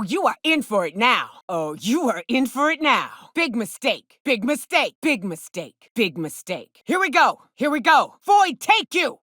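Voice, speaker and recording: A woman's voice: sits high, sharp tone, no echo, clean studio sound, heavily acted. A woman voicing a gutsy, hard-drinking hunter and loyal crewmate in a video game, with brash, folksy, slangy delivery. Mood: cocky, battle-ready. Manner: brash, folksy, rough-edged slangy talk. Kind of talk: video game voice acting, combat barks.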